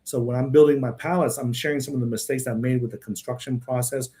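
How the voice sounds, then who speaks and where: A man's voice: mid-range voice, fairly even intonation, quick pace, dark tone. A man who grew up in Texas, talking casually in a rambling monologue and answering viewers' questions, often repeating words and tagging his sentences with "right?" and "okay?".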